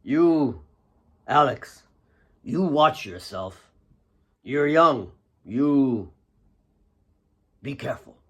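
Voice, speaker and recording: A man voicing a loyal, concerned older mine worker, halting and cautioning, in an audiobook. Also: medium pitch, expressive, fairly bright tone, husky, muffled recording, exaggerated voice.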